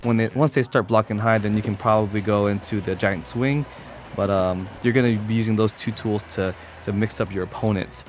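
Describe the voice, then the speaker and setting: A man with a fairly deep voice talks through a fighting game demonstration. His delivery is casual and explanatory, unscripted, with some hesitation as he goes. Music plays clearly behind him. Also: fairly even intonation, a little fast. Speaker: competitive gamer demonstrating a character.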